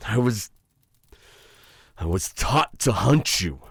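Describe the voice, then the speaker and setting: Man playing a deep-voiced, menacing witch hunter. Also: expressive, gravelly, slightly rough, dry recording, heavily acted. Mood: conflicted, agitated. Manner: slow, halting, intimate taunting address to listener. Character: menacing male witch hunter, taunting his chained captive, conflicted. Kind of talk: ASMR roleplay acting.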